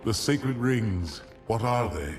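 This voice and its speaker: A man voicing a proud alien warrior commander who speaks in a measured, solemn way. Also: fairly low pitch, lively intonation, over music. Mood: grave.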